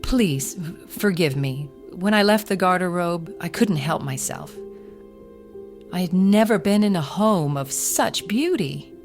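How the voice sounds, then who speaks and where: A woman's voice: on the low side, expressive, a little slow, faint music behind. A woman playing an earnest, guarded young woman in an audio drama, pleading in formal old-fashioned phrasing. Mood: apologetic, guarded.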